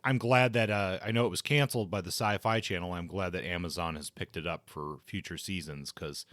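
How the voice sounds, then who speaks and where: A man hosting a podcast, reviewing things in a casual, conversational way with measured opinions. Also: low voice, fairly bright tone, no room sound. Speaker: podcast host.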